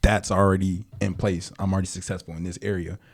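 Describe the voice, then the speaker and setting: A young man with a very low voice talks as a podcast guest. His delivery is relaxed and slangy, full of fillers as he rambles about music. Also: quick pace. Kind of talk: casual podcast chat.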